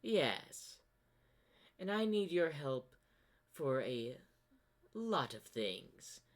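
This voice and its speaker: Man voicing a smug, sinister corrupted zebra, drawn-out and pitched up. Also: sing-song, full character voice. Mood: sly, explaining.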